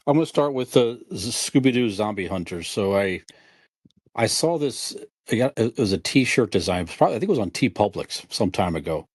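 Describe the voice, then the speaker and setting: A man's voice: lowish voice, expressive, fairly quick, breathy edge, no echo, clean studio sound. A man co-hosting a podcast, chatting casually and easygoing, often repeating a phrase for emphasis. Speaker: podcast co-host.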